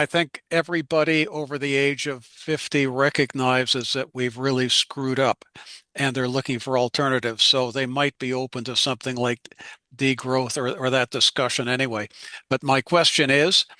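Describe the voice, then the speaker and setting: Bright, flat-voiced man. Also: medium pitch, no echo. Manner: rambling, filler-heavy. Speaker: audience member asking a question. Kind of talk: online Q&A question.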